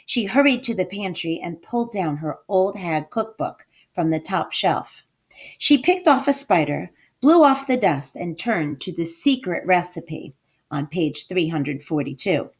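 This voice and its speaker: A woman reads a picture book aloud for children. Her delivery is slow and very animated in pitch, leaning on key words for drama and coaxing listeners with questions. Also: breathy edge. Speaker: storybook reader, teacher. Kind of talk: expressive read-aloud to children.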